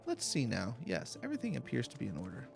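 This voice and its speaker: A man's voice: mid-range voice, huge pitch swings, fast, vocal fry, music in the background. A man voicing a genial, joking fantasy game official with courteous, old-fashioned phrasing. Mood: amiable, teasing. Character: genial, jesting official welcoming a hero.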